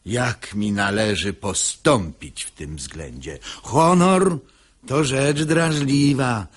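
An actor playing a sanctimonious, scheming hypocrite who feigns wounded humility in unctuous, martyred verse in a radio comedy. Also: male voice, mid-range voice, lively intonation, takes it slowly, slightly rough.

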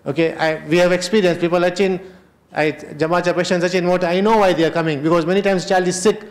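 A middle-aged man with a Kenyan Memon accent and a fairly high voice, chatting loosely as he moderates a health panel. He holds a handheld mic in a large hotel conference hall and switches between English and Kutchi phrases. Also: steady tone, fast talker. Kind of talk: unscripted panel discussion.

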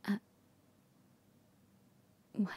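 A woman whispering softly in an ASMR comfort roleplay, gently reassuring a partner. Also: drawn-out words, dry recording.

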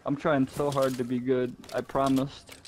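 A man's voice: medium pitch, little pitch movement, normal speed, darkish tone, slight background noise. A man talking casually and in slang with friends while gaming. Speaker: gamer in squad voice chat.

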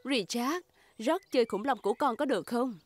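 A woman voicing a gentle, patient cartoon mother pig, coaxing and soothing. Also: on the high side, normal speed, loud music behind the voice, lightly acted. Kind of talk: Vietnamese cartoon dub.

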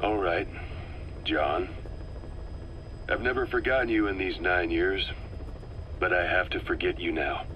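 Deep, calculating intelligence operative. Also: male voice, sharp tone, slight background noise, low-quality recording. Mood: measured, resolute. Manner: measured, deliberate, controlled. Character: calm, calculating middle-aged male intelligence operative. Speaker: video game character. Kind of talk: dramatic game dialogue, briefing.

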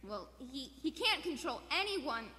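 Radio-play troubled wife played by a woman: high, faltering, distraught, long theater reverb. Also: big pitch swings, a little slow, pushed and bright, exaggerated voice. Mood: shaken, faltering. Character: distraught, hesitant young wife in serious trouble. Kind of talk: 1940s radio play acting. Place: theater stage in front of an audience. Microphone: standing stage microphone.

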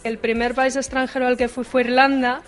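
A woman's voice: sits high, level tone, brisk, soft background music. A woman answering casting interview questions with upbeat enthusiasm into a handheld mic in an office hallway. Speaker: casting applicant.

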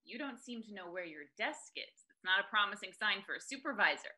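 A woman's voice: medium pitch, animated, a little fast, pushed and bright. A young actress playing a haughty, sarcastic businesswoman at a comedy table read over a video call. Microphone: computer mic on a video call.

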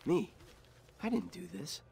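An actor playing a young rookie soldier in a video game, with a wry, casual way of talking. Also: male voice, high voice, animated, measured pace, creaky, warm tone, a little hiss or hum, full character voice. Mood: shocked. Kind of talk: dramatic video game dialogue.

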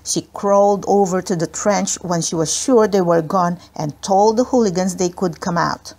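A woman's voice: lowish voice, moderate pace, darkish tone. A woman narrating a children's adventure book in a scripted read-aloud style. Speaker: audiobook narrator.

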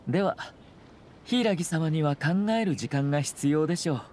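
A man's voice: on the high side, expressive, darkish tone, slight background noise, lightly acted. Man playing a composed young nobleman, anime game. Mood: earnest, reassuring. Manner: composed, courteous, measured. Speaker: government commissioner. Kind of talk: anime game voice acting, formal polite dialogue.

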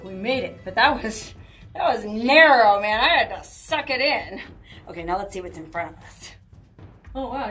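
A young woman vlogging as she explores a narrow cave, chatty and full of excited exclamations, recorded on a handheld camera. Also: medium pitch. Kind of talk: casual vlog narration.

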